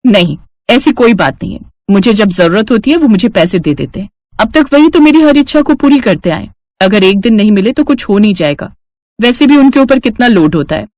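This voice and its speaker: A woman's voice: medium pitch, lively intonation, brisk, a little mellow, dry recording, close to an everyday voice. A woman voicing a long-suffering young housewife and mother in a family story, plaintive and meek. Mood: meekly defensive.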